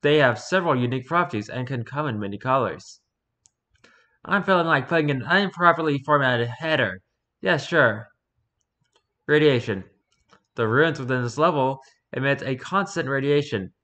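Male streamer, mid-pitched and bright, casual wry read-aloud, slightly stumbling. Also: lively intonation, normal speed. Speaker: streamer reading and reacting to wiki pages.

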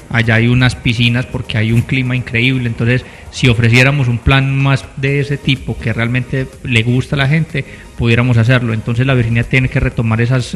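Even-toned Colombian man, reverberant sound. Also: medium pitch. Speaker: interview guest, council candidate. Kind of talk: conversational interview answers. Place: small TV studio set. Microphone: handheld microphone.

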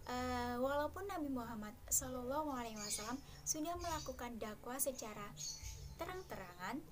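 A young woman teaching a lesson to primary school children, slow and careful, with small fillers. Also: a little high. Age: young adult. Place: dark room, seated before a backdrop.

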